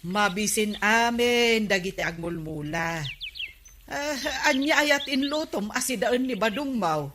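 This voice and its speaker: A woman's voice: fairly high pitch, expressive, a little slow, noisy background. A woman playing a gossiping village housewife in a Filipino comedy radio drama, with an Ilocano accent. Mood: chatty.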